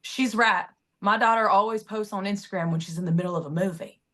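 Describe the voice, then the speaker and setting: A medium-pitched voice for an anxious mother acted by a woman. She is earnest and insistent, worried about her grown daughter, in a natural screenplay read. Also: fairly quick, bright, forceful tone, lightly acted. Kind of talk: screenplay table read acting.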